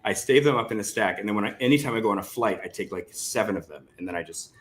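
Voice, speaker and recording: A man's voice: on the low side, fast, fairly bright tone. A man hosting a casual live interview over an online call, feeling his way through questions in a hesitant, rambling manner. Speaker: interview host.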